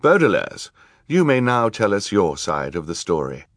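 A man's voice: lowish voice, big pitch swings, moderate pace, no echo, studio quality. A man voicing a female judge in an audiobook, formal and authoritative, stern yet kindly. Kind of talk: audiobook character acting.

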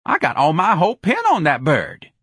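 A man voicing a sly, put-upon young bar porter in an audiobook, grumbling and defiant with drawn-out exclamations. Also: a little high, wildly swooping pitch, fairly bright tone, breathy edge, no echo, studio quality, voice pushed up, full character voice. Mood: eager.

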